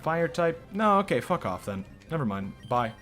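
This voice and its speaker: A man streaming a video game, chatting loosely and swearing often as he answers his viewers. His voice is bright and forward, with faint game music under it. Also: a little high, big pitch swings, moderate pace. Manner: profane, rambling, reacting to chat. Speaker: let's play streamer. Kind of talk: casual gameplay commentary.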